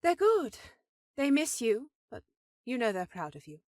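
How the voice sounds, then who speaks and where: A woman's voice: a little high, big pitch swings, a little slow, no echo, studio quality. A woman playing an affectionate, teasing elf headmistress in a scripted fantasy audio roleplay, composed and slightly formal. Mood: affectionate, reassuring. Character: powerful elf sorceress sister, affectionate, teasing, dutiful headmistress.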